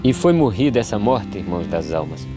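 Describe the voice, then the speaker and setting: Animated verse drama: weary wanderer, lowish pitch, solemn rhythmic lines, music underneath. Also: male voice. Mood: solemn, questioning. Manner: measured, solemn, rhythmic delivery with falling refrains. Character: solemn male drought migrant, questioning, weary, plain-spoken.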